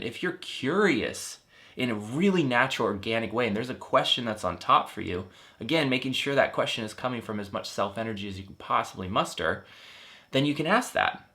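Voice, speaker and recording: A man in his thirties, a life coach, gently and earnestly explaining a therapy method, with frequent fillers and restarts. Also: mid-range voice. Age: 30s. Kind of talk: explanatory teaching talk.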